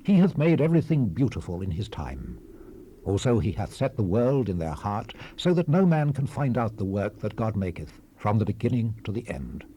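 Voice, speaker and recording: An actor playing a weary, brooding ancient preacher in a dramatized Bible reading, solemn, measured and declamatory, recorded on a hissy old cassette. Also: male voice, on the low side, very animated, dark tone. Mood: grave, reflective. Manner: solemn, measured, declamatory, with falling phrase endings. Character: weary, brooding old-world preacher reflecting on life's vanity. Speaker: scripture narrator. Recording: hissy 1970s cassette rip.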